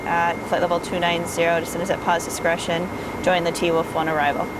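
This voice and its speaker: Woman acting as calm airline pilot, loud cockpit noise. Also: on the low side, a little fast, lightly acted. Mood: calm, businesslike. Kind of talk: radio readback phraseology. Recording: loud cockpit aircraft drone. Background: loud aircraft cabin hum.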